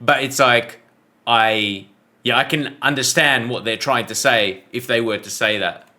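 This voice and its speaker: Bright-voiced Australian man reacting casually. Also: mid-range voice, unhurried. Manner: relaxed, hesitant, with many fillers. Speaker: reaction video host.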